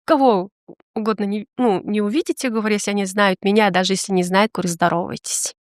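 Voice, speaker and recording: A woman's voice: medium pitch, steady tone, fast, dry recording, clean studio sound. A woman hosting a podcast interview, chatty, laughing often and stretching out her phrases. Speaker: podcast host. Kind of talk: casual conversational interview.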